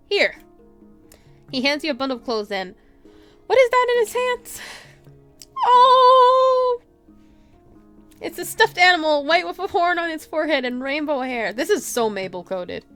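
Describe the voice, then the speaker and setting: A woman's voice: sits high, fairly bright tone. A woman livestreamer reading a visual novel aloud with playful, casual commentary. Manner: playful, giggly asides. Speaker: game livestreamer.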